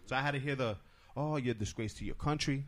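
Fast-talking joking man. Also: medium pitch. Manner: joking, swears casually. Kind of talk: casual chat.

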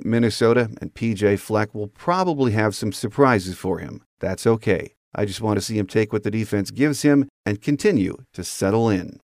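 A man's voice: on the low side, a little mellow, no echo, studio quality. An American man hosting a sports podcast, delivering scripted commentary as a monologue. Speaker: podcast host.

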